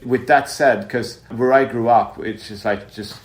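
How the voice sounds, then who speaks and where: A man from Sweden, a podcast guest, telling relaxed stories full of "you know" and "like". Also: medium pitch, unhurried. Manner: relaxed storytelling, frequent "you know" and "like" fillers. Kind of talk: casual conversation.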